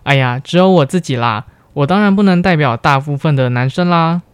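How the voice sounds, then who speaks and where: A man's voice: on the high side, a little fast, smooth, dry recording. A young man with a Taiwanese Mandarin accent co-hosts student radio with scripted banter. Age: young adult.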